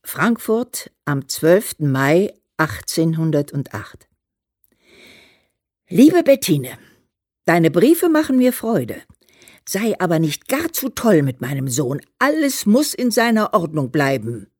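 A woman's voice: fairly low pitch, big pitch swings, slow, a little mellow, breathy edge, no echo, clean studio sound, exaggerated voice. An actress playing a practical old mother who scolds and teases in an emphatic, colloquial way in a radio play. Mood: fond, cautioning. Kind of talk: dramatized letter reading in a radio play.

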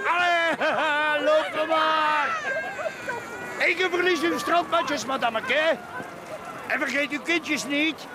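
A man's voice: very high voice, steady tone, takes it slowly, over a noisy crowd. An actor with a Flemish accent playing a bitter, boastful, drunken middle-aged man in a radio play. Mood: gleeful, mocking. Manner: rambling, repetitive, cackling, tipsy. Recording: radio play with clear outdoor background noise.

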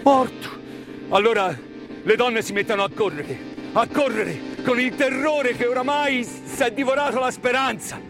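A man performing a dramatic theatrical monologue, intense and emphatic, with repeated words and pauses. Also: very high-pitched. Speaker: stage actor-narrator. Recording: live stage performance with music. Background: live music.

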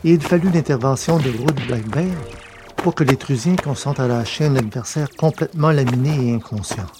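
A man's voice: mid-range voice, moderate pace, over music. A man narrating a science-fiction adventure novel as a scripted audiobook reading.

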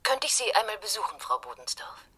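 A woman acting a reserved, purposeful caller in a crime radio play, speaking formally and deliberately with a husky, breathy voice. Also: mid-range voice, very animated, sharp tone, heavily acted. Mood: discreet, insistent.

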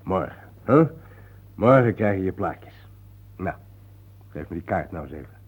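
A male actor in a Dutch radio play, playing a calm, enigmatic guide haunted by war, speaking in a measured, patient, cryptic way. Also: low voice. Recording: noisy, thin phone-like old radio recording.

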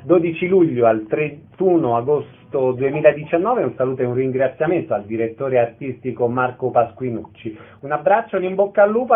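Through thin, band-limited radio sound, a man with a mid-pitched voice hosts an interview. He presents guests and puts questions to them as a radio interviewer. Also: phone-quality sound.

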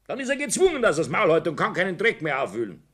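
A man's voice: fairly high pitch, wildly swooping pitch, fast talker, fairly bright tone, breathy edge, heavily acted. An actor with a Bavarian accent playing a jovial, scheming businessman in a 1960s German radio play. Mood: worried, determined. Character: jovial, scheming businessman father guarding his reputation.

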